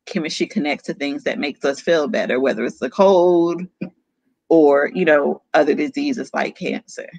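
A woman's voice: sits low, normal speed, creaky, a little mellow, dry recording. A woman hosting an online event, talking in an enthusiastic, conversational way. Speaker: event host. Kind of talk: hosting a video call. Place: home room. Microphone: video call.

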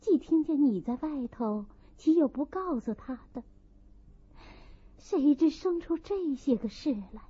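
Woman as a high-voiced, kind loyal maid. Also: animated, unhurried, warm tone. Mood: remorseful. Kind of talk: audiobook character acting. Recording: muffled, thin phone-like sound.

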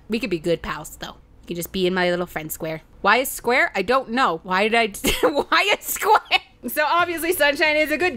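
Very animated, bright-voiced woman storyteller. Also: on the high side. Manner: acts out quoted lines, profane, playful. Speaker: storyteller, let's play host. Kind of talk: animated comedic retelling of a game session. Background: background music.

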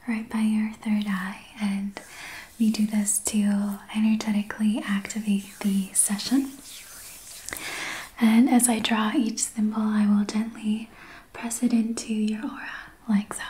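A woman speaking in a breathy whisper, gently and soothingly guiding a healing session in ASMR style. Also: medium pitch, a little hiss or hum, poor audio. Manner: slow, gentle, soothing guidance. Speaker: ASMR host, Reiki practitioner.

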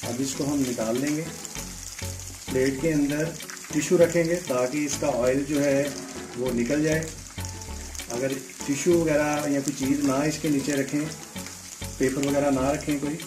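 A man with a Pakistani Urdu accent, hosting a home cooking tutorial and giving calm step-by-step instructions in a home kitchen. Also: on the high side, low-quality recording. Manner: calm step-by-step instructions, often saying "theek hai". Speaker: cooking channel host. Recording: background music, oil sizzling. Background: music and frying sizzle.

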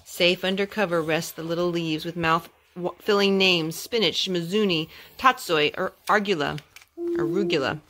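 A young woman reading a children's picture book aloud at home, phrase by phrase in a measured, careful way. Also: on the low side, fairly bright tone. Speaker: storytime host reading to her children. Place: carpeted room at home. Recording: clear background noise and clicks, homemade.

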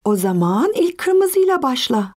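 A woman voicing a young boy's kind mother in a children's cartoon dub, gently instructive. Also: sits high, sing-song, unhurried. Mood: encouraging.

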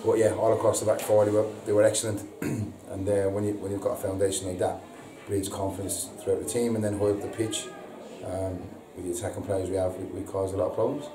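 Man in his thirties, post-match manager, hesitant and repetitive, low even voice, far mic in an echoey noisy room. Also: over music. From England. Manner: halting, repeats words, frequent ums. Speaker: football manager. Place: indoor press room before a sponsor board. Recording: echoey room, distant mic, audible hiss.

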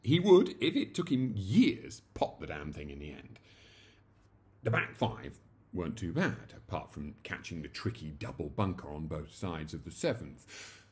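A man in his forties reading a comic short story in a theatrical, expressive way, recorded in a small room at home. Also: sits low. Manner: theatrical, animated. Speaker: story reader.

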